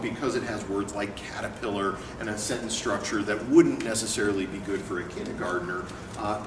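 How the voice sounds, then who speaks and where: A man presenting a picture book read-aloud and talking informally as an educator, with an expressive delivery. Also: lowish voice. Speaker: educator and book company owner reading aloud. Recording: echoey room, distant mic, clear background noise.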